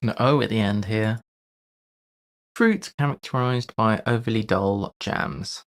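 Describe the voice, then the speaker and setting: A man streaming casually, thinking aloud in short, halting fragments as he works through puzzles. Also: fairly low pitch. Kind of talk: casual live-stream chat while solving crosswords.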